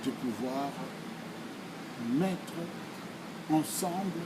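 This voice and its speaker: A man in his sixties with a Congolese accent, giving formal answers in a deliberate, emphatic, oratorical way, phrase by phrase. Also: on the high side, lively intonation, very noisy. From Democratic Republic of the Congo. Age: 60s. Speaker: speaker answering questions.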